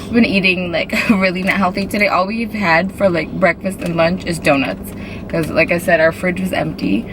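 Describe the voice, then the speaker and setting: Hoarse lady vlogging from the truck, kinda low voice, says like and um a lot, road noise all over it. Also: fairly even intonation, moderate pace. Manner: chatty, filler-heavy, with frequent "like" and "um". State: hoarse from a cold. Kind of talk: casual vlog chat. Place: inside a moving truck. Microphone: handheld camera.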